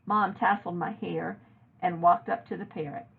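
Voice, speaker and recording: A woman reading a children's novel aloud in an expressive narrating style, like a teacher reading to a class. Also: lowish voice, normal speed, gravelly, husky. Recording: narrow, phone-like lo-fi audio.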